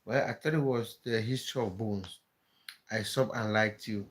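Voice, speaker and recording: A man's voice: fairly low pitch, moderate pace. A man from Cameroon, hosting a casual live stream, chatting with viewers and often repeating words as he thinks aloud. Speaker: livestream host.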